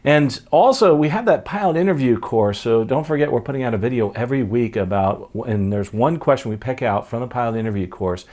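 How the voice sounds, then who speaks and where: A man's voice: mid-range voice, expressive, fairly quick, hardly any pauses, darkish tone. A man in his fifties hosting a podcast, talking casually and chattily to viewers in an upbeat way. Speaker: podcast host. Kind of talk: casual video outro to viewers. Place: home study with bookshelves, indoors.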